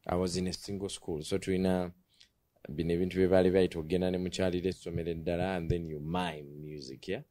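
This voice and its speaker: Ugandan man, low voice, upbeat studio host, dry close handheld mic. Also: little pitch movement, fast, mellow, no room sound. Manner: upbeat, switches between English and Luganda. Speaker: talk show host. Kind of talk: TV studio interview. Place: indoor TV studio set.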